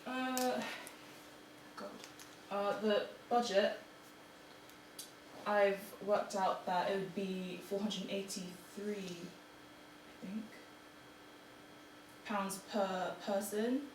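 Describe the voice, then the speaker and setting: A woman's voice: medium pitch, steady tone, very slow, pauses often. Hesitant young woman in an echoey room. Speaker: student presenter. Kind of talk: informal class presentation. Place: room in front of an audience. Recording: echoey, noisy room, distant mic.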